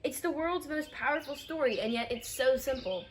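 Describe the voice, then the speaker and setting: A woman's voice: high voice, expressive, moderate pace, slight background noise. A woman voicing an easygoing, sensible child puppet in a children's church puppet show, reassuring and earnest.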